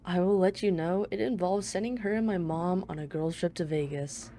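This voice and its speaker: Woman playing a low, mellow, teasing female mafia boss. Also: normal speed, slight background noise, natural voice. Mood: smug, charming. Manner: playful, smug, flirtatious banter. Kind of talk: ASMR roleplay, one-sided dialogue.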